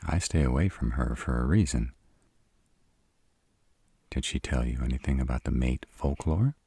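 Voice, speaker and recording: A man playing a sarcastic, brooding vampire with a soft spot, dry and grudging, in a roleplay audio drama. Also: very deep voice, moderate pace, creaky, breathy edge, dry recording, full character voice. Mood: curt, dismissive.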